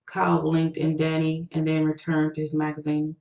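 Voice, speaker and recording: Low-voiced woman narrating fiction. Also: completely flat delivery, moderate pace, mellow, roomy sound. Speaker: author reading own story.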